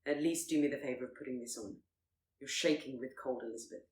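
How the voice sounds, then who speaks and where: A woman voicing a proud, reserved gentleman in a period romance audiobook, speaking formally and with restraint. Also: a bass voice, fast, roomy sound, pitched below the performer's own voice. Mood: weary, concerned. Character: proud, stiff, reserved gentleman, earnestly courting his betrothed.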